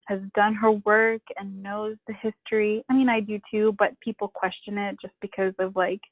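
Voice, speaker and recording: A middle-aged woman from the Philippines, a family therapist, speaking candidly and reflectively with halting pauses and self-deprecating laughs. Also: medium pitch, little pitch movement, vocal fry, no echo. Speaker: podcast guest, family therapist. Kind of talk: candid personal conversation. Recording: tinny phone-like line.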